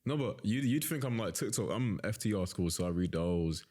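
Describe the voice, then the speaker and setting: A man's voice: on the low side, lively intonation, fairly quick, dark tone. A young man in his twenties co-hosting a casual podcast, rambling and packing his speech with "like" and "you know". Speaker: co-host and interviewer.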